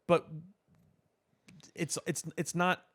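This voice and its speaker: A man co-hosting a podcast, talking casually about pop culture in halting, deliberate, explanatory phrases. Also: a bit bright. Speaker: podcast co-host.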